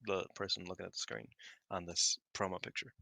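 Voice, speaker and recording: A man's voice: sits low, level tone, brisk, gravelly, sharp tone, dry recording. A man co-hosting a casual podcast, blunt and offhand, swearing now and then. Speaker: podcast co-host. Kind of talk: casual wrestling podcast chat.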